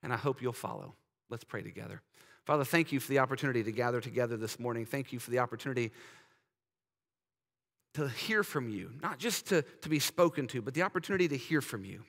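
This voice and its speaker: A man in his thirties, a pastor, earnestly urging his congregation and then praying softly, recorded with a lapel mic in a large church sanctuary. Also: medium pitch, fast, creaky. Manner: earnest, urging, drops soft in prayer. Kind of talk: sermon invitation and prayer. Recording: church hall reverb.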